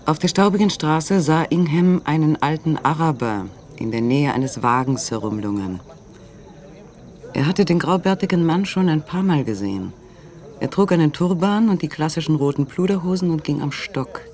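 An actor narrating a literary radio play in a measured, matter-of-fact manner. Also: male voice, a little high, darkish tone, close to an everyday voice. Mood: measured, detached.

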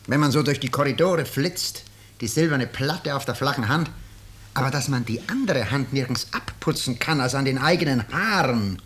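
Man acting a smooth, insinuating ex-convict houseguest. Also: medium pitch, fairly bright tone, natural voice. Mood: reminiscing, sly. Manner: mock-polite, wheedling. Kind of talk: 1950s German radio drama acting. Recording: slightly noisy 1950s radio recording.

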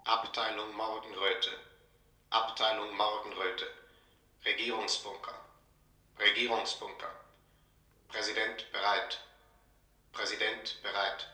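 An actor playing an official announcer in a science-fiction radio play, calling out formally and impersonally. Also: male voice, fairly low pitch, flat delivery, slow, long pauses, pushed and bright, exaggerated voice. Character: male announcer relaying bunker and spaceship calls. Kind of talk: German radio drama announcement. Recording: echoing public-address style sound.

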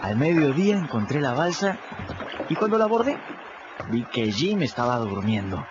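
A man with a Peruvian accent narrating a story in the first person in an expressive, storytelling manner. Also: medium pitch, moderate pace, background noise. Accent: Peruvian Spanish. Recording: dull audio with music underneath.

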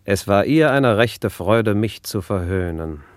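A man's voice: on the low side, expressive, measured pace, no room sound. An actor playing a spiteful, vengeful nobleman in a classical radio drama, gloating, menacing and commanding. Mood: brooding malice. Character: spiteful, vengeful nobleman obsessed with cruel revenge.

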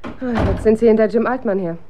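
An actress in a German radio drama playing an anxious, sceptical wife who speaks in a questioning, hesitant way. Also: female voice, a little high, fairly quick, mellow, slight background noise, natural voice. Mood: doubting.

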